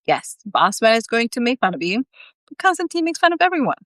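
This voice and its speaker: A woman's voice: on the high side, sing-song, brisk, a bit bright, no room sound, voice pushed up. Confident, teasing sidekick guy for a storybook female reader, casual delivery, dry sound. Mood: cajoling. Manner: casual, cajoling.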